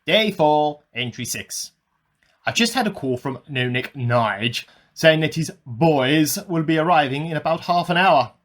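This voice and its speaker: A man's voice: a little high, expressive, unhurried, a bit bright. A man playing an eccentric amateur scientist who earnestly records a self-important secret diary in a radio play. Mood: matter-of-fact. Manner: earnest, self-important, musing aloud in dated log entries.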